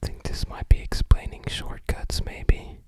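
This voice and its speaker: This is a soft, breath-only whisper from an ASMR host. The delivery is casual and musing, like unhurried unboxing commentary. Remarks come out tentatively, as quiet thinking aloud.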